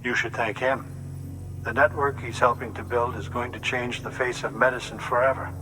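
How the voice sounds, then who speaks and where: An actor playing a reassuring, slightly flustered doctor in a video game, explaining earnestly with some hesitant starts. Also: male voice, medium pitch, level tone, normal speed, music in the background, natural voice, poor audio. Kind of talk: dramatic video game acting.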